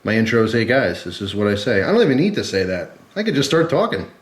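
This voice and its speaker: A man's voice: on the low side, very animated, quick pace. A man with a stuffy, head-cold voice chatting casually and informally to camera as the host of a gear review vlog, rambling along in a conversational way. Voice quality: congested, stuffy-headed. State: sick with a cold and flu. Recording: echoey, roomy sound.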